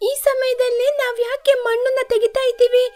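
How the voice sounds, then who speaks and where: A woman's voice: very high-pitched, level tone, normal speed, darkish tone. A woman voicing an eager, curious young sparrow girl in a children's cartoon, with a childlike, earnest way of talking. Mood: curious, weary.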